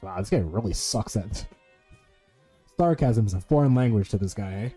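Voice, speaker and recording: A man's voice: on the low side, expressive, moderate pace, warm tone, slightly rough, faint music behind. A man streaming a game with casual, slangy commentary and plenty of swearing. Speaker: gaming streamer.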